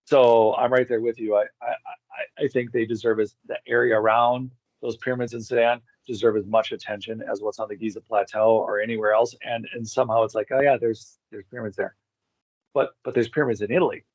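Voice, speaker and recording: A man with a mid-pitched voice talks as a guest on a casual interview. He rambles excitably and drifts into side details. The sound is dull, lo-fi and very dry. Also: a little fast, no room sound. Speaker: interview guest, amateur megalith researcher. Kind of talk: casual enthusiastic discussion.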